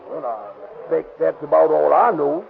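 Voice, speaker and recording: A man's voice: fairly high pitch, measured pace, vocal fry. An actor playing a nervous male witness who stammers and hesitates in folksy, ungrammatical speech, in 1930s radio drama style, on a tinny old broadcast recording. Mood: flustered.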